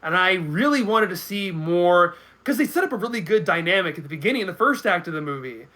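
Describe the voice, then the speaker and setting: A man's voice: sits high, expressive, fairly quick, bright, forceful tone. A man in his thirties chatting casually as a podcast guest, thinking aloud with hesitant, repeated words. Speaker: guest commentator. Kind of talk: casual video podcast chat.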